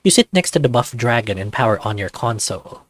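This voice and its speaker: A man's voice: mid-range voice, fairly quick, no echo. Man narrating a visual novel. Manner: even, second-person storytelling.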